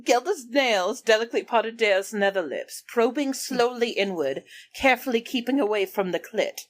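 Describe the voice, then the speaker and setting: A woman's voice: fairly high pitch, moderate pace. A woman in an improvised comedy chat, reading passages aloud between bits of banter. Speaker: book club podcast performer reading aloud.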